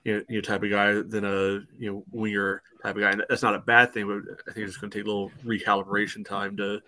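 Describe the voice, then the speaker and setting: Bright, even-toned man talking soccer. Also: fairly low pitch, fast. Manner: hesitant phrasing peppered with "you know". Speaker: sports podcast panelist. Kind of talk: casual soccer analysis.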